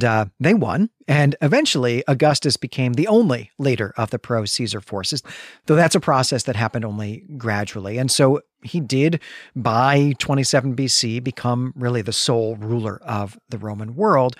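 Male podcast co-host, mid-pitched, professorial explainer in casual discussion, very dry close-mic sound. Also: no room sound. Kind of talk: conversational literary podcast discussion with explanatory asides.

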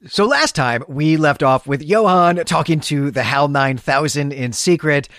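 A man's voice: on the high side, measured pace, fairly bright tone, dry recording, clean studio sound. A man co-hosting a literary podcast, recapping a story in an articulate, explanatory way. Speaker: podcast co-host. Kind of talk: literary podcast recap with read-aloud passages.

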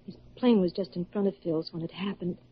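A woman's voice: lowish voice, fairly even intonation, fairly quick, breathy edge. A woman acting a worried, helpful young woman in a classic radio drama. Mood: earnest. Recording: muffled old radio recording.

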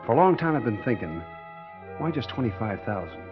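A man's voice: medium pitch, big pitch swings, a little fast, lightly acted. An American actor playing a tough, scheming engineer who tells his own swindle in hard-boiled 1940s radio drama style. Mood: sly, dreamy. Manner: hard-boiled first-person storytelling. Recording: dull 1940s radio recording with music.

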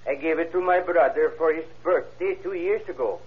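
Man acting a polite, highish-voiced witness. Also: brisk, lightly acted. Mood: hesitant, earnest. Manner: polite, hesitant, plain answers. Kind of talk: old-time radio courtroom drama acting. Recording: muffled vintage radio recording.

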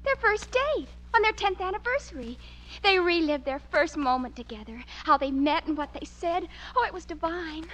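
Girlish romantic American teen daughter, very high bright swooping voice, gushing, vintage radio comedy, muffled recording. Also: animated, normal speed. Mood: dreamy, gushing. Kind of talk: 1940s radio sitcom acting.

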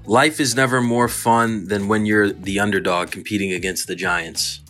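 A man in his twenties, a dating show contestant, laid-back, his sentences trailing off softly. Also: on the low side, fairly even intonation, normal speed, soft background music. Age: 20s. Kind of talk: casual chat.

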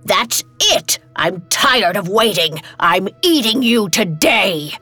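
A woman's voice: fairly low pitch, expressive, measured pace, gravelly, fairly bright tone, no room sound, soft background music, exaggerated voice. A woman voicing a cruel, impatient old witch in a storybook tale, menacing, scornful and demanding. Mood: furious, impatient.

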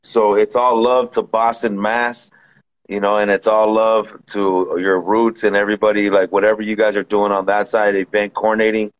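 A man from Southern California hosts a casual podcast chat. His voice sits on the lower side. He speaks in an easygoing way, repeating phrases and filling with "you know". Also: level tone, moderate pace, low-quality recording. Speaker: podcast host.

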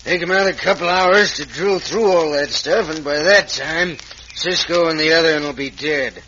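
A callous frontier villain talks in a folksy Western American accent. The voice is slightly high and cutting, on a faintly hissy old radio western. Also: male voice, normal speed, pushed and bright, lo-fi sound. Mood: sly. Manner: folksy drawled menace, ungrammatical. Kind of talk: 1950s radio western acting.

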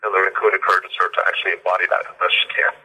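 A man's voice: low-pitched, little pitch movement, racing, bright, forceful tone, slightly rough, rough recording. A man, an author being interviewed, explaining in a thoughtful way with frequent fillers and repeated words. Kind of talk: conversational interview.

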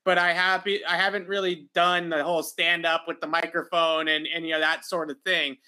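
Nasal, very bright young man. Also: a little high, flat delivery, brisk. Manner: rambling, filler-heavy, repeats words. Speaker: podcast host. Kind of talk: casual podcast chat.

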